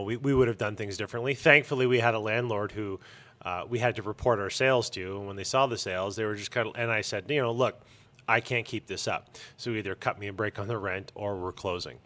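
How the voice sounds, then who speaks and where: Male, low-pitched. Small-business owner in a relaxed interview, deliberate phrasing with thoughtful pauses. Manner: measured, careful wording with pauses. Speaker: comic shop owner being interviewed. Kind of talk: casual conversational interview.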